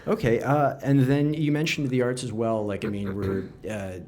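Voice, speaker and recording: A man's voice: medium pitch, steady tone, normal speed, a little mellow, a little hiss or hum. A man hosting an interview podcast, asking friendly, chatty questions with casual fillers. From Canada. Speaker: podcast host. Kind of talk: conversational interview.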